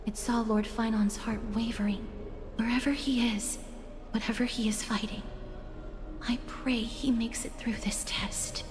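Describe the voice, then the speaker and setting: A woman acts out a gentle young priestess for a video game, her voice on the high side, breathy and reverent. She speaks in formal, solemn phrases inside a long reverb, with music clearly behind her. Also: level tone, unhurried, lightly acted. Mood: worried, prayerful. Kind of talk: English video game voice acting.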